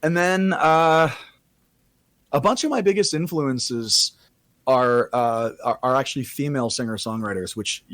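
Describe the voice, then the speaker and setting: A man with a raspy voice, a singer-songwriter guest chatting casually on a podcast, often restarting his sentences. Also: medium pitch, unhurried, fairly bright tone, dry recording. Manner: rambling, self-correcting casual talk.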